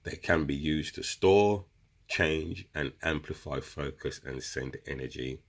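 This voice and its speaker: Very deep husky man with a cold. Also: measured pace. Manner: chatty, addresses viewers as guys. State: has a cold, runny nose. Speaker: crystal seller explaining meditation. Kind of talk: casual instructional video talk.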